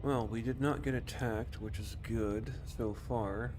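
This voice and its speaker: A man's voice: fairly even intonation, measured pace, music in the background. A man streaming a game, giving casual commentary and short voice commands. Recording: clear cabin rumble behind the voice. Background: spaceship game ambience.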